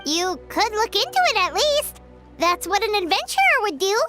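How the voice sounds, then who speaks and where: A woman voicing a tiny, childlike, cheeky companion in a video game, with a squeaky voice and a perky, blunt manner. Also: very animated, normal speed, loud music behind the voice. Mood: encouraging. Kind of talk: video game character acting.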